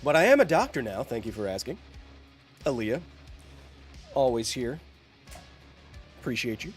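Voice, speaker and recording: Male streamer, thirties, mid-pitched, a touch congested, loose jokey chatter to chat, faint guitar under. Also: very animated, moderate pace. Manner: rambling, jokey, talks to chat. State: congested, recovering from bronchitis. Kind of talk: live game stream chat.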